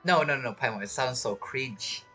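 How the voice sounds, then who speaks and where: A woman's voice: very deep voice, moderate pace, over music. A woman giving casual, amused and teasing commentary while playing a video game, often repeating words hesitantly. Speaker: gamer streamer.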